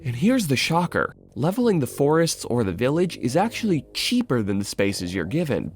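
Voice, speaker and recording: A man's voice: mid-range voice, wildly swooping pitch, moderate pace, faint music behind. A man narrating a scripted video essay as its host, in a wry, sardonic, casually sweary way.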